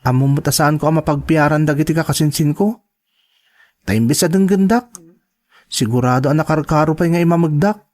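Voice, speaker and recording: Actor as young male college student, high voice, Filipino accent, earnest, determined, imploring radio drama delivery. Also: brisk, a little mellow, lightly acted. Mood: earnest, confiding. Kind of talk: Ilocano radio-style drama acting.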